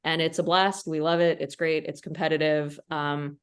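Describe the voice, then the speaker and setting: Woman, low voice, fluent and upbeat explainer; crossword constructor as interview guest. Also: little pitch movement, normal speed, clean tone. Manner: fluent, enthusiastic explainer, occasional laughs. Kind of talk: casual interview answer.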